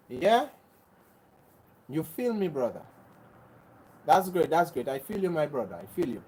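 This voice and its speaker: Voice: mid-range voice, warm tone, husky, poor audio. A livestream host from Grenada, talking earnestly to viewers. Often repeats and restarts phrases and speaks in an insistent, preachy way. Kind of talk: casual livestream chat answering viewers.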